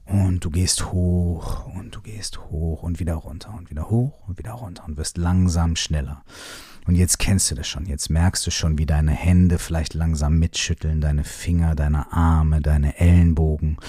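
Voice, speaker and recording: A man leading a guided shaking meditation, giving repetitive instructions in a soft, partly whispered voice, with some casual swearing. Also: very deep voice, fairly even intonation, normal speed, slightly rough, no echo, clean studio sound. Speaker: movement exercise guide.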